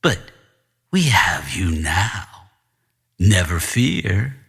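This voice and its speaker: A man voicing a sinister, serpent-like older male school principal who speaks with slow, menacing courtesy. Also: sits low, very animated, vocal fry, a bit bright, breathy edge, pitched below the performer's own voice, full character voice. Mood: silky menace.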